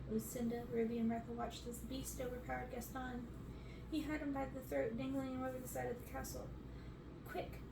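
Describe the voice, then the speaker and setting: A woman's voice: fairly high pitch, creaky. A young adult woman reading a storybook aloud as scripted ASMR narration in a bedroom. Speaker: reader on an ASMR channel. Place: bedroom, indoors. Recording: roomy, noisy, distant microphone.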